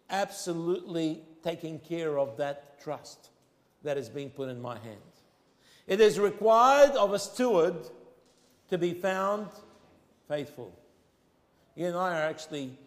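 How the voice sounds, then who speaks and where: High-voiced Arabic-accented preacher, echoing hall. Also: male voice. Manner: emphatic, slows and rises on key phrases. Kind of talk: sermon. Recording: long hall reverb.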